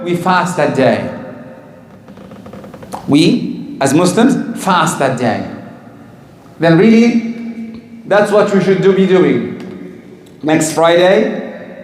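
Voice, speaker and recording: A man giving a religious talk to a congregation, asking the listeners questions and answering them himself. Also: sits high. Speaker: Islamic teacher. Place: community hall or mosque in Canada. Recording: echoey hall with long reverb.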